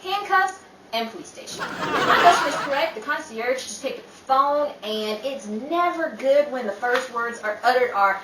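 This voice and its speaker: A woman playing a sharp-tongued, exasperated, sarcastic show business woman in old time radio comedy style. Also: high voice, animated, normal speed. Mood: urgent. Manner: sarcastic, scolding, wisecracking. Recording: roomy, distant mic, faint noise.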